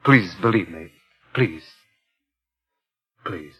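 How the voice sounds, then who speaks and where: An actor playing a nervous, obsessive impostor in 1950s radio suspense, with a halting, intense delivery. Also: male voice, low voice, expressive, slightly rough, lo-fi sound, full character voice. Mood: pleading, anxious. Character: nervous, obsessive male impostor and would-be thief. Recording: vintage 1950s radio recording, music behind.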